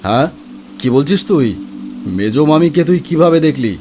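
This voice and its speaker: A man's voice: fairly high pitch, lively intonation, moderate pace, warm tone, a little hiss or hum, lightly acted. A man voicing a grieving, solemn uncle in a cartoon horror story, sorrowful and disbelieving. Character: grieving, solemn uncle breaking bad news.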